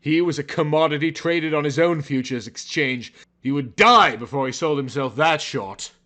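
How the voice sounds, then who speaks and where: A man's voice: a little high, moderate pace, sharp tone. A man reading fiction aloud as a dramatic narrator, with an emphatic, theatrical delivery. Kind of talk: dramatic, overwrought scripted narration.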